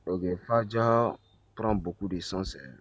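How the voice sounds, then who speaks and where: A man's voice: fairly low pitch, measured pace. A man calling into a live debate, hesitant and defensive, deflecting the questions put to him. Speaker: caller in debate.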